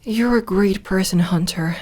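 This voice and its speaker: A woman acting as a lonely immortal vampire girl in an intimate ASMR roleplay. She is guarded but tender, with a slow, hesitant delivery at a middling female pitch. Her words come softly and haltingly. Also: close to an everyday voice. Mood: hesitant, sad.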